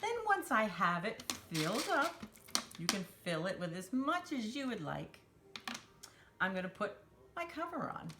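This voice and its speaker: Woman teaching kids, very lively pitch, noisy recording. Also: unhurried. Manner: simple, encouraging talk addressed to young children; refers to herself in third person. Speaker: children's storytime host and craft teacher. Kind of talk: children's craft demonstration.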